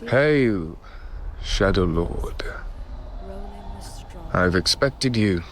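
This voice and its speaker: A frail old king in a fantasy audio drama. His voice is lowish and extremely slow, halting and wry in its weariness, with faint ambient noise around it. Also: lively intonation, a bit bright, heavily acted. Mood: wry, weary. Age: older.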